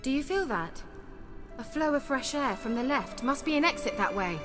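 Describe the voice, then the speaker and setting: A woman's voice: high voice, lively intonation, moderate pace. A woman acting a self-assured, cultured sorceress in a video game, articulate and theatrical, with a slyly teasing, coy manner. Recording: long reverb, music behind the dialogue.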